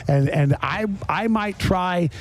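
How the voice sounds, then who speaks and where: A man's voice: sits high, slow. A middle-aged man hosting a casual chat, talking in an effusive, rambling way. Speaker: podcast host. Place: on location at a garage.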